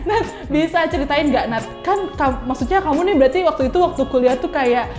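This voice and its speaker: Young female talk-show host, high voice, chatty and informal, roomy sound with music behind. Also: lively intonation, fairly quick. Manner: chatty, informal, reacts often to the guest. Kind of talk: casual talk-show interview.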